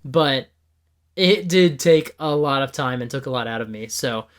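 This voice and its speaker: A slightly hoarse man with a bit of gravel in his voice, hosting a tabletop game video and explaining ideas earnestly with examples. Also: mid-range voice, measured pace.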